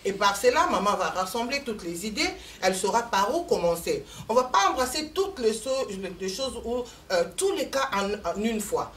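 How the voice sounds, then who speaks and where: A woman's voice: on the high side, big pitch swings, moderate pace, hardly any pauses, fairly bright tone. A woman from Congo, an invited speaker, giving a methodical, explanatory talk at a community meeting. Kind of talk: measured public address. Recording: distant mic, faint room noise.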